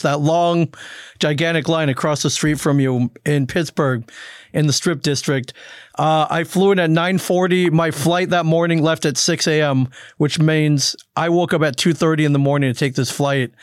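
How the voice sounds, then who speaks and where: Clean, higher-voiced man interviewing. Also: smooth, dry recording, studio quality. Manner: enthusiastic, complimentary. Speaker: interviewer, show host. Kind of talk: casual conversational interview.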